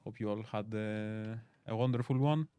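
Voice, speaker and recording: A man's voice: fairly low pitch, normal speed, dark tone, smooth, no echo. A Greek man in his twenties, chatting casually and hesitantly as a community stream host while playing. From Greece. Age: 20s. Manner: rambling, filler-heavy, hesitant. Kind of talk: casual chat while gaming.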